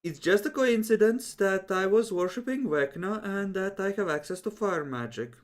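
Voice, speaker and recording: A man's voice: high-pitched, animated, normal speed. A man talking casually and wryly as a player building his character for a tabletop role-playing game, swearing now and then.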